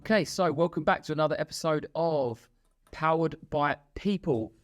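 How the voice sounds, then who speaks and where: A man hosting an interview podcast, upbeat and encouraging with quick interjections. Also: on the high side, soft background music. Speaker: podcast host.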